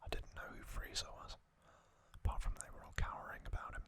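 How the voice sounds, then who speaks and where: An adult ASMR host narrating in a soft, deliberate whisper, pausing often between short phrases. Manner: soft, deliberate, reflective with pauses.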